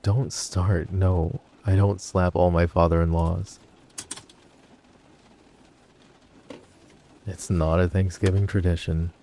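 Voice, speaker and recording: Guy acting as low gravelly mafia husband, mellow and wry, protective boyfriend asmr roleplay. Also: flat delivery, unhurried, a little hiss or hum. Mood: wry, defensive. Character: possessive, protective young crime-family husband, dry and teasing.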